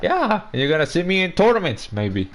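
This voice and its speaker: A man's voice: sits high, sing-song, moderate pace. A man of about twenty chatting casually on a podcast as co-host, rambling and restarting his sentences. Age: 20s. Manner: rambling, repeats and restarts words. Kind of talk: casual chat.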